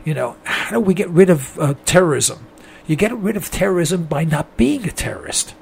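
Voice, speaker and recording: A man hosting a call-in radio talk show, speaking in a rambling, folksy monologue while hoarse from a throat infection. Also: fairly high pitch, lively intonation, normal speed. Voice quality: strained, gravelly voice.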